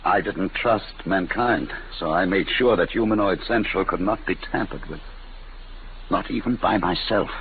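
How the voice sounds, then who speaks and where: An actor playing a guilt-ridden, earnest inventor in a 1950s radio drama, speaking gravely and haltingly. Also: male voice, low-pitched, natural voice. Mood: grave, secretive. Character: guilt-ridden, earnest older male inventor of humanoid robots. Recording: muffled, noisy 1950s radio recording.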